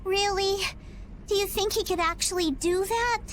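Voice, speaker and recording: An actress playing a curious young girl pirate in a video game, asking gentle, probing questions. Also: extremely high pitch, moderate pace, slight background noise.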